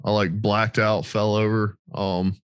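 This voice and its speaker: Man, American accent, fairly low voice, very dry recording, informal podcast storyteller, swears casually. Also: little pitch movement, a little slow, smooth, no room sound, muffled recording. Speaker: podcast host, military veteran.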